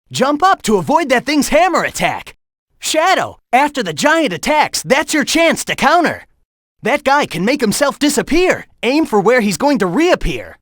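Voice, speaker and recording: Brash game hedgehog hero, teasing and coaching his rival, animated delivery, dry close booth sound. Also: very high voice, no echo, full character voice. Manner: cocky, teasing banter; urgent warnings and coaching. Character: cocky young male hedgehog hero, fast and teasing. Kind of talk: video game voice acting.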